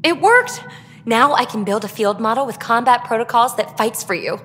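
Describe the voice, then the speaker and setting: A woman playing a breezy, excitable alien tinkerer in a video game, playful and flippant but guarded about her feelings. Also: fairly high pitch, big-room sound, slight background noise. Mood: excited, eager. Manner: playful, flippant, quick to brush off. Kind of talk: video game dialogue acting.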